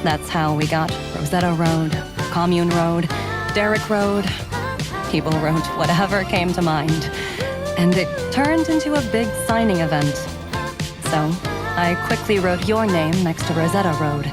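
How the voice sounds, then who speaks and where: A woman voicing a loyal, battle-hardened female android warrior in a video game, speaking in a measured, sincere, soldierly way. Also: on the low side, close to an everyday voice. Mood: gentle, warm. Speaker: video game character voice actor. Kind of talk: scripted game character voicelines. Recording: studio voice under loud pop music. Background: loud pop music.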